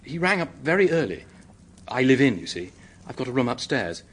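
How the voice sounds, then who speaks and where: An actor playing an earnest, polite young British secretary who is anxious and hesitant, in a radio drama. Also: male voice, medium pitch, sing-song, fairly quick, fairly bright tone, husky. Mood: earnest, explaining. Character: earnest, flustered young English male secretary, helpful and anxious.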